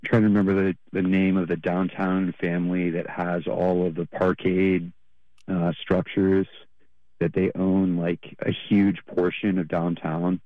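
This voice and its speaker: Deep-voiced man calling in by phone. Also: little pitch movement, unhurried, no room sound. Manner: hesitant, many fillers. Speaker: radio caller. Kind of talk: call-in radio comment. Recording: tinny phone line.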